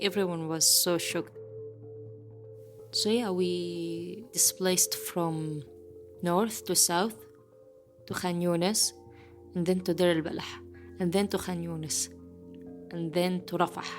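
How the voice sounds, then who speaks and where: A woman in her twenties from Gaza, a journalist speaking English as a second language in a halting, reflective interview. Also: lowish voice. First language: Arabic. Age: 20s. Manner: halting, reflective, with soft laughs. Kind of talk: conversational interview.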